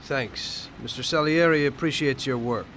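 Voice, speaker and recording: A man playing a casual, low-key young gangster in a crime video game. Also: moderate pace, a bit bright, a little hiss or hum, lightly acted. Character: laid-back young American gangster, polite but reluctant.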